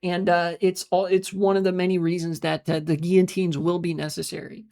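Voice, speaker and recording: American streamer rambling to chat, swears a lot, lots of uh uh, mid pitch. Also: level tone, brisk, darkish tone. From United States. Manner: rambling and profane, trailing off into filler sounds; reads out and answers chat messages. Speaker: live streamer. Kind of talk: casual live-stream monologue.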